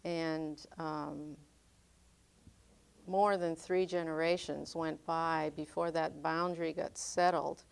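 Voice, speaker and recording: An older woman from northern Maine, raised speaking French, talks slowly and thoughtfully. Her voice sits a little low and sounds smooth and clear. She pauses often as she gathers her memories. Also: flat delivery. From northern Maine, United States. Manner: unhurried, reflective, frequent ums. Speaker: interviewee. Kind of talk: oral history interview.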